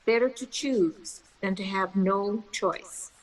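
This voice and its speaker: An older woman playing a reflective character who tells her story in an audio play, measured and deliberate. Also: medium pitch, lightly acted, poor audio. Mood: resolute, confiding.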